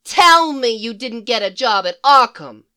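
A woman's voice: high voice, a little slow, voice pushed up, full character voice. A woman voicing a brash former psychiatrist turned supervillain. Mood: indignant. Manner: brassy, excitable, slangy.

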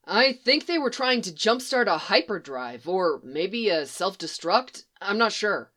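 A woman's voice: mid-range voice, sing-song, unhurried, a bit bright. A woman voicing an uneasy male clone trooper who speaks hesitantly. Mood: unsure. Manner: hesitant, unsure.

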